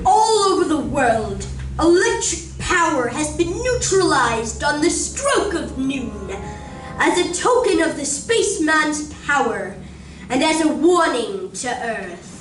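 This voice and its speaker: A woman narrating a radio drama in a theatrical, deliberate scripted style. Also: sits high, big pitch swings, faint music behind, full character voice. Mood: solemn, dramatic. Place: library community room, live performance. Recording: echoey community room, distant, noisy.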